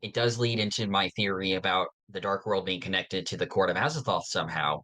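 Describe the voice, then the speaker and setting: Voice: sits low, brisk, pushed and bright. A podcast host talking casually through fan theories in an earnest, nerdy, somewhat hesitant way.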